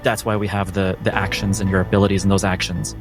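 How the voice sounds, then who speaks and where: A man acting as game master, narrating a cinematic tabletop story in a measured, descriptive, instructive manner. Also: low-pitched, little pitch movement, over music.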